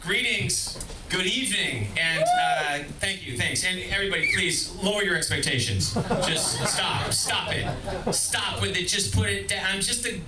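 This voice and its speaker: Animated high-voiced comedian man. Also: a little slow, heavy background noise. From Boston, Massachusetts. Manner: animated, self-deprecating, shouty bursts. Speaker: stand-up comedian. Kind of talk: stand-up comedy set. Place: comedy club stage. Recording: echoey club from far off. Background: audience laughter.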